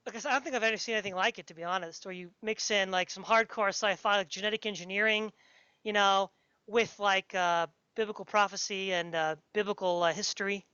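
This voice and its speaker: A woman's voice: medium pitch, fairly even intonation, fairly quick, sharp tone, clean tone, dry recording, highs cut off. A woman chatting casually as a podcast interviewer, talking in a rambling, enthusiastic way like a fan.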